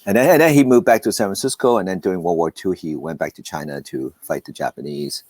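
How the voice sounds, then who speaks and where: A middle-aged man with a low voice speaks as a podcast guest in a casual interview. He tells his story haltingly, doubling back on words and slipping into asides. The sound is close and dry, with hardly any room in it. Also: darkish tone, no room sound. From Hong Kong, Taiwan and Southern California. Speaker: podcast guest, film producer. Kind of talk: casual remote interview, telling life story.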